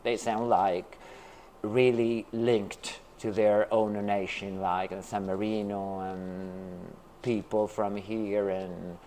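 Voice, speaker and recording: Interview guest, male, from New York, slow and halting, lots of um and uh, low-ish voice. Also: little pitch movement, dark tone, a little hiss or hum. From Brooklyn, New York. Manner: hesitant, many fillers and restarts. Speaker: interview guest, artist and teacher. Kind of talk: casual interview talk.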